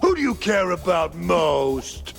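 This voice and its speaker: A man playing a villainous buzzard in a noir cartoon game, taunting with drawn-out menace. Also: high-pitched, big pitch swings, vocal fry, exaggerated voice. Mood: menacing, gloating. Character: gloating villainous buzzard, taunting hostage-taker. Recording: music behind.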